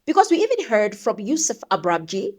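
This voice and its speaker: A woman's voice: medium pitch, wildly swooping pitch, fairly quick, darkish tone. A South African woman giving opinionated, conversational news commentary, thinking aloud and posing rhetorical questions. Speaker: commentator, show host.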